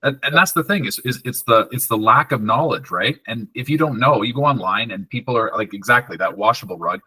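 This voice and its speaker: A man's voice: medium pitch, fairly quick, bright, forceful tone, slightly rough, no echo. A man hosting a podcast, asking questions in a laid-back, conversational way with fillers. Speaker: podcast host. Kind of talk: casual interview.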